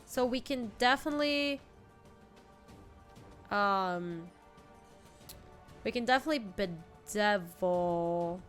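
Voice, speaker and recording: A woman's voice: mid-range voice, expressive, slow pace, long pauses, a bit bright, faint music behind. A young woman with a stuffy cold, chatting casually as she plays a card game on stream and answers her chat. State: congested with a cold. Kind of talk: casual live-stream commentary. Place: home streaming room, indoors.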